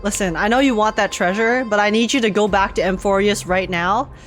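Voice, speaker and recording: A woman's voice: mid-range voice, a little fast. A woman streaming a game and reacting casually to the story, playful and exclamatory, full of slang. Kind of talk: casual live reaction commentary. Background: game music.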